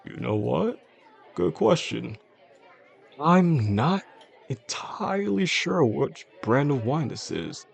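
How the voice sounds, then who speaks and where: A man playing a possessive, soft-spoken boss in an intimate audio drama, talking gently and with control straight to the listener in a low, gravelly voice. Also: big pitch swings, a faint crowd, full character voice. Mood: courteous, attentive. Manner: slow, soothing, gentle, controlled. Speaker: voice actor.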